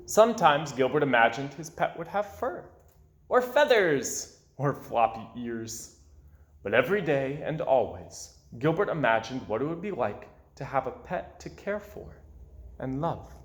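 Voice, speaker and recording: Guy reading a kids book out loud, real animated, takes his time w dramatic pauses, roomy echo. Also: mid-range voice. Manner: dramatic pauses and drawn-out words. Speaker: children's storybook reader. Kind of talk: expressive read-aloud narration. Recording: roomy, echoing indoor sound.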